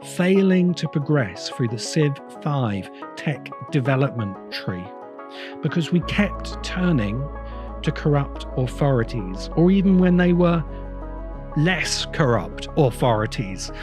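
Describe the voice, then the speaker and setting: A man delivering a reflective, semi-improvised spoken essay as a host, with deliberate, halting phrasing and emphatic repeats. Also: a little high, sing-song, music in the background. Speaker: host of a science fiction video essay podcast.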